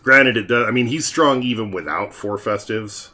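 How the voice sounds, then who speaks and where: A man hosting a casual gameplay commentary, explaining team strategy in a relaxed way. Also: lowish voice, moderate pace. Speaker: gaming channel host.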